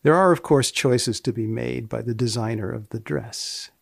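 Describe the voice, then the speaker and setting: A man hosting a podcast with deliberate, measured phrasing, moving between scripted narration and interview questions. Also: medium pitch, creaky, no room sound, studio quality. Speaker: podcast host.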